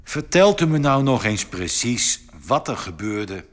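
An actor playing a formal, inquisitive alien scientist who speaks precisely, in a radio drama. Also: male voice, medium pitch, sing-song, measured pace. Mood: formal, inquiring. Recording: long hall reverberation.

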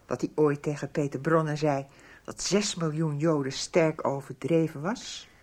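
An actress playing a sharp, accusing, anxious middle-aged mother in a radio soap, with a husky voice. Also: deep voice, fairly even intonation, a little slow. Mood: accusing, sarcastic.

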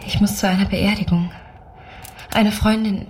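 A woman's voice: mid-range voice, fairly even intonation, moderate pace, darkish tone, a little hiss or hum, lightly acted. An actress playing a composed woman with a cold edge in a radio play. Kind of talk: radio drama acting.

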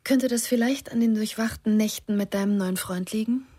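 An actress playing a worried, caring but reproachful girlfriend in a radio drama, with a breathy voice. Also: on the high side, moderate pace, dark tone.

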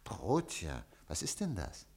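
An actor playing an excitable newspaper director in a German radio play, moving between muttering and exclaiming. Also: male voice, low-pitched, slow, gravelly, heavily acted. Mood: bewildered. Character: excitable male newspaper director hungry for a scoop.

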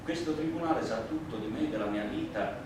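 An actor playing a plain-spoken, indignant immigrant worker on trial, in simple, emphatic theatrical oratory. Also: male voice, fairly low pitch, expressive, natural voice. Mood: earnest, solemn. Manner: simple, emphatic, deliberate working-class oratory. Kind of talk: theatrical courtroom monologue. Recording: echoey, distant stage recording, clear noise.

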